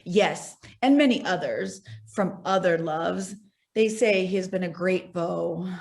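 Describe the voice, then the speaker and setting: A woman's voice: on the low side, unhurried, vocal fry, darkish tone. A woman playing a composed, scheming, romantic society lady in a stage play, speaking with theatrical assurance. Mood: knowing. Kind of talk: stage acting in an online one-act play.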